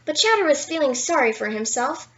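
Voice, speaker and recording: A high-voiced woman reads a children's bedtime story aloud. Her delivery is playful and expressive, leaning into key words for drama. The sound is a little dull and lo-fi, like a simple home recording. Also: a little fast. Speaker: storyteller reading a children's picture book aloud. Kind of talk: animated bedtime story read-aloud with asides.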